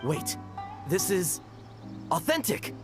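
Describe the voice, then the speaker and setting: A man's voice: high voice, sing-song, takes it slowly, full character voice. A man playing a dutiful gate guard in an anime video game, formal, officious and emphatic. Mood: respectful. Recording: music under the voice. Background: game music.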